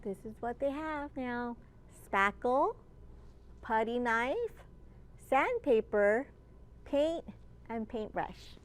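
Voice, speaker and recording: A woman children's librarian reading aloud to young children in an encouraging storytime manner, pausing to ask simple questions. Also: on the high side, animated. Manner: slow, encouraging, asks listeners simple questions.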